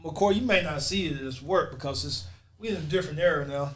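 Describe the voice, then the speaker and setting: A man's voice: on the high side, fairly quick, a crowd in the background. A man chatting informally as a podcast panelist, encouraging and slangy. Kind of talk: casual chat.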